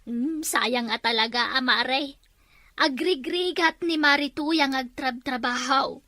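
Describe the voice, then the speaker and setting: A woman's voice: high-pitched, slow pace, pushed and bright, no room sound, exaggerated voice. A woman with an Ilocano accent playing a chatty neighbor who gossips in a comic drama. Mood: gossipy.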